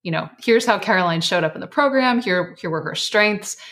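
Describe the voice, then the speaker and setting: A woman author and life coach, earnest and reflective as a guest, her voice slightly hoarse. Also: mid-range voice, lively intonation, brisk, a bit bright. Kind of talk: casual interview chat.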